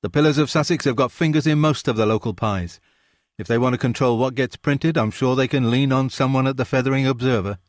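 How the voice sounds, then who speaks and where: Opinionated bearded landlord, read by a man in a raised voice; audiobook figure, gruff and quick-tempered. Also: mid-range voice, no room sound, studio quality, pitched above the performer's own voice, close to an everyday voice. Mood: cynical. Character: blunt bearded male pub landlord, opinionated.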